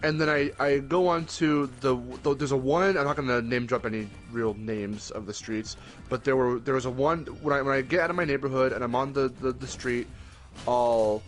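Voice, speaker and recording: A young man talks with a groggy, worn morning voice, the way a podcast co-host chats casually. He rambles, backs up to correct himself and drops the odd swear. Faint music plays underneath him. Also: mid-range voice. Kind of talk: casual chat.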